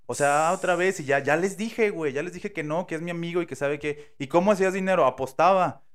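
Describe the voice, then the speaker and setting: A man with a Mexican accent, casually telling a true crime story on a podcast, slangy and animated, acting out the quotes. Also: on the high side, fast talker. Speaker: podcast host.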